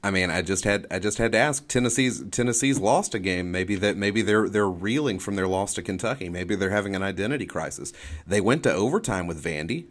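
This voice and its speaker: Guy with a fairly low voice on a sports podcast, casual, circles back on his phrases as he thinks. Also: fairly quick. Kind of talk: casual sports debate and game picks.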